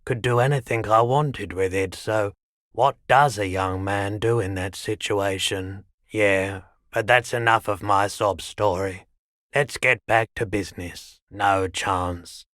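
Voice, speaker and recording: Slow, low-voiced weary shopkeeper. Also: male voice, fairly even intonation, a bit bright, dry recording, clean studio sound. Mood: weary, resigned. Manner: unhurried, low-key, matter-of-fact, dryly polite. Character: weary, mild-mannered male pawnshop owner, no longer young. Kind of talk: video game dialogue acting.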